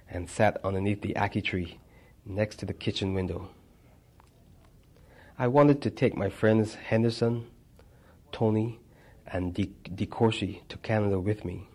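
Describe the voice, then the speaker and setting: A man reading a personal memoir aloud, measured, with small stumbles and restarts. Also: on the low side, warm tone. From Barbados. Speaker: narrator.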